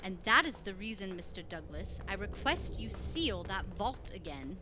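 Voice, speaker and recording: A woman playing a grave, foreboding lady preacher in a radio play, speaking formally and with measured care. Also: mid-range voice, big pitch swings, background noise, natural voice. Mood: grave pleading. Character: solemn, foreboding woman preacher who fears evil powers.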